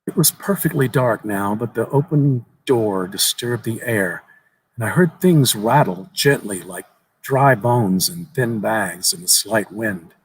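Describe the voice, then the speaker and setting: A man giving a measured, suspenseful dramatic reading of a horror short story. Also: lowish voice, lively intonation, slight background noise, rough recording. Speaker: story reader at a live event.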